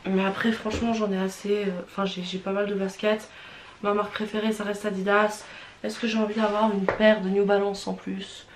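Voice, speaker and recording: A woman's voice: medium pitch, flat delivery, brisk. A young woman with a Swiss French accent, stuffy from a cold, chatting frankly and hesitantly as she unboxes and tries on clothes, with lots of fillers and restarts. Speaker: vlogger. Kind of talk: casual unboxing and try-on chat. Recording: roomy with faint background noise.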